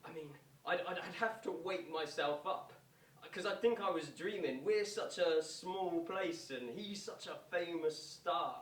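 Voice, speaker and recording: Distant, higher-pitched man playing a young music fan. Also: a little slow, a bit bright. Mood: shyly starstruck. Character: shy, starstruck young music fan living at home. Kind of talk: verbatim stage monologue. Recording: distant, echoey, noisy stage recording.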